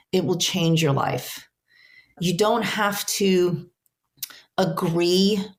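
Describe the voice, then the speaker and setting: A middle-aged woman whose first language is Ukrainian, giving earnest, heartfelt interview answers as a translator, often restarting her sentences. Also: fairly low pitch. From Ukrainian diaspora, raised speaking Ukrainian.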